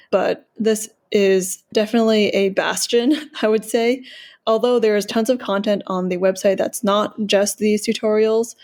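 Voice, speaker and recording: A woman's voice: mid-range voice, a little mellow. Earnest woman, business seller, methodical and proud. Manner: earnest, organized, lists points in order; self-promoting, proud of her work. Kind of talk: podcast interview answers.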